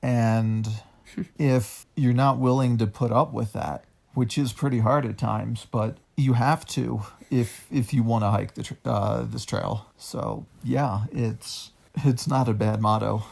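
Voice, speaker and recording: Slow, gravelly-voiced man. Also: medium pitch, steady tone, darkish tone. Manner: halting, restarts often, dry humor. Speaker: guest, long-distance hiker. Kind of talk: casual interview answers.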